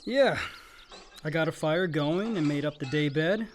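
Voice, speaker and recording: An actor playing a dutiful but resentful son, matter-of-fact and curt, in a naturalistic radio play. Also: male voice, a little high, measured pace, slight background noise, lightly acted.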